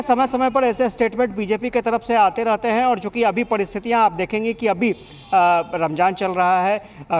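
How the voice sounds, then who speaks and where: A man reporting live for television news, explaining at length with frequent hesitations. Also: high-pitched.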